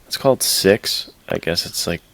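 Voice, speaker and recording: A man chatting casually and informally as a podcast co-host, somewhat halting as he finds his words. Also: fairly bright tone. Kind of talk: casual chat.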